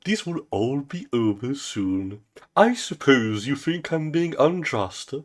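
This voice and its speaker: A man's voice: mid-range voice, sing-song, slow. A man voicing a self-righteous, menacing galactic president in a comic book reading. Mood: smug, pompous. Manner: pompous, menacing.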